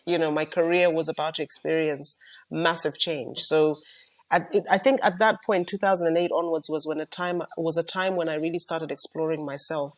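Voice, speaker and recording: A middle-aged woman from Malawi, co-hosting a podcast and telling her story in a measured, reflective way. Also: low voice, fairly even intonation. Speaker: podcast co-host. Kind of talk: casual chat.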